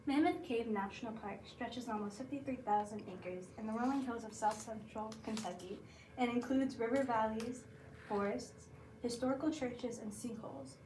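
Teen girl student, high voice, quiet and far off, echoey and noisy. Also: normal speed. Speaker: student presenter. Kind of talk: school project narration.

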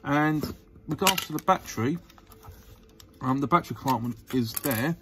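A man hosts a casual hands-on demonstration at a mid-range pitch. He talks in a hesitant, rambling way and circles back over his words. There is faint noise with clicks of handling in the recording. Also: moderate pace. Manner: hesitant, rambling, repeats himself. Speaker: channel host. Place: indoors at a workbench.

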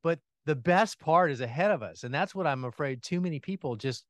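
A man as a podcast guest, talking about gardening with enthusiasm and animated energy, laughing now and then. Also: a little high, a little fast, dry recording. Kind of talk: casual interview chat. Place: home in Atlanta, remote call.

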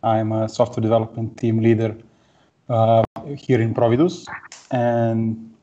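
Steady man, low and flat. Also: muffled recording. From Serbia. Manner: plain, steady, many pauses with "uh". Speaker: conference speaker. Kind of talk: tech talk presentation. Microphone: headset or laptop mic on video call.

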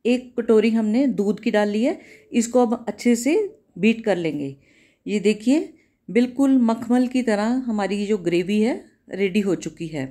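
A woman cooking-channel host, giving a calm, step-by-step recipe voice-over in a home kitchen. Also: mid-range voice, measured pace. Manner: calm, instructive, repeats steps.

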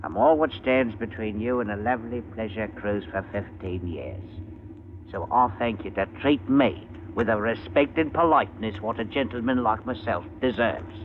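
Actor as low-voiced sly spaceship crewman. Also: normal speed, lightly acted. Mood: sly, haggling. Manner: mock-polite, sneering, ungrammatical. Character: sly, mercenary working-class British spaceship crewman. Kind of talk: 1950s radio drama acting. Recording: noisy, tinny old radio recording.

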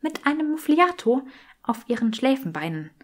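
A woman's voice: high voice, big pitch swings, normal speed, voice pushed up. A woman voicing a brainy, precise young witch in an audiobook, articulate and matter-of-fact. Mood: matter-of-fact, tired.

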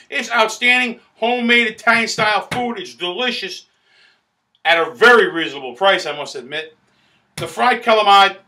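A middle-aged man, hosting a restaurant review to camera in a loud, boisterous, salesman-like way that keeps repeating itself. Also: sits high. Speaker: food critic host. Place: small indoor studio in front of a banner backdrop.

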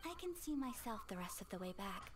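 A woman playing a gentle, shy young noblewoman in a video game, speaking softly and politely. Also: medium pitch, big pitch swings, lightly acted. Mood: shy, fond. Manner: soft, breathy, polite, unhurried. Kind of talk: video game character acting. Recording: game audio with background noise.

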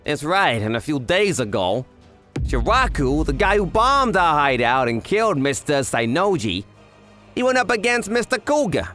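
A man's voice: on the high side, sing-song, moderate pace, sharp tone, soft background music. An actor playing a cocky, chatty young male yakuza who narrates in a scripted anime dub. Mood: explaining.

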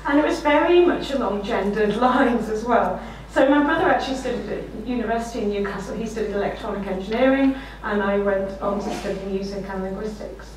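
A middle-aged woman with a slightly croaky voice, giving a conference keynote with self-deprecating anecdotes. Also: medium pitch, brisk, few pauses, slight background noise. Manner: warm anecdotal, self-deprecating, often laughing while speaking. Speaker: keynote speaker. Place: lecture room, standing behind a desk. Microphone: room mic, far from speaker. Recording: echoey lecture room from far off.

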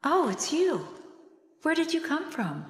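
A woman playing an anxious but plucky, kind-hearted young animator in a horror video game, expressive and often talking to herself. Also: high voice, measured pace, long reverb tail, a little hiss or hum. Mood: uneasy, searching. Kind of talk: dramatic horror game voice acting.

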